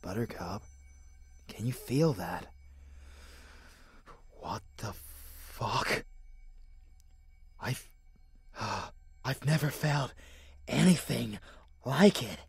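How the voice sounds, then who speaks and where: A man playing a dark, obsessive vampire lover in an ASMR audio roleplay, with a theatrical, seductive delivery and murmurs. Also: mid-range voice, expressive, extremely slow, vocal fry, pauses often, slightly rough, no echo, heavily acted. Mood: alarmed, bewildered.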